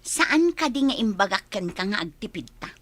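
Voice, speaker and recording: A woman's voice: mid-range voice, very animated, normal speed, a bit bright, slight background noise. An actress with a Filipino accent playing a hardworking, nagging young girlfriend in a radio drama, speaking earnestly. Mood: frustrated.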